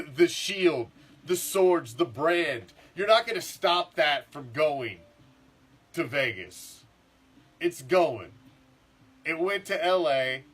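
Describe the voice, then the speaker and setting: Middle-aged man, congested, rough throat, profane heated rant, fairly high voice. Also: a bit bright. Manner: heated, swears a lot, repeats himself. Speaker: sports podcast host. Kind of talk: profane casual sports rant.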